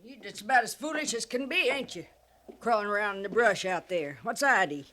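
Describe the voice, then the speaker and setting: A woman's voice: medium pitch, lively intonation, fairly quick, close to an everyday voice. An actress playing a canny, sardonic old frontier trader woman with a rustic American accent, folksy and blunt, in a 1950s radio western. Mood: scolding. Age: older. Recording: noisy vintage 1950s radio recording.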